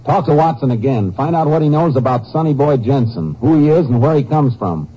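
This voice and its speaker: An actor playing a stern, shrewd Texas Ranger investigator in a 1950s radio drama, questioning bluntly and with authority. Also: male voice, mid-range voice, animated, brisk, mellow, natural voice. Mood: urgent, brisk. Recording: muffled, noisy old 1950s radio recording.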